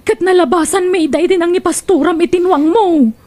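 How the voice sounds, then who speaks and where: A woman's voice: extremely high pitch, brisk, warm tone. A woman playing an agitated, gossiping villager in an Ilocano comedy radio drama, exclaiming as she tells her news in an Ilocano accent. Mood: alarmed tattling. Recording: clearly noisy background.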